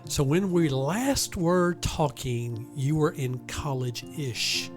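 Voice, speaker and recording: A man's voice: fairly high pitch, animated, soft background music. Folksy man hosting a podcast interview. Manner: folksy and joking, drawn-out delivery. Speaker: university president and podcast host.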